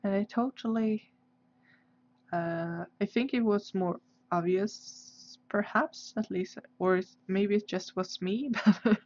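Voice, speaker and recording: A woman's voice: mid-range voice, slow, a little mellow. A woman with a Swedish accent chatting casually on a livestream as she draws, hesitant and searching for her English words. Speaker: livestream host, artist. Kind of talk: casual livestream chat while drawing. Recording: dull, lo-fi livestream audio.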